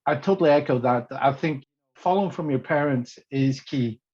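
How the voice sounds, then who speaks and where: A man's voice: mid-range voice, normal speed, a little mellow, breathy edge, muffled recording. A middle-aged man, a mentor on a panel, telling his story in an easygoing, sociable way. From Northern Ireland. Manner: easygoing, sociable, fillers like "you know".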